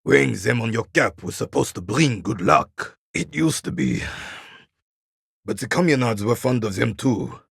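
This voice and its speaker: A proud old war veteran from a video game, with a heavily creaking, husky voice that swings widely in pitch. He speaks like a formal old soldier, haughty and embittered, grumbling at those beneath him. The sound is dry and close. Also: animated, moderate pace, a bit bright, dry recording, full character voice. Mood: bitter, nostalgic. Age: older. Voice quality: gravelly, raspy, husky. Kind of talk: dramatic video game character acting.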